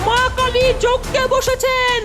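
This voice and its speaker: A woman's voice: extremely high pitch, completely flat delivery, measured pace. A woman voicing a greedy, menacing old witch in a cartoon, with a theatrical manner. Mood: panicked pleading. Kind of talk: cartoon voice acting. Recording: loud music and crowd noise behind.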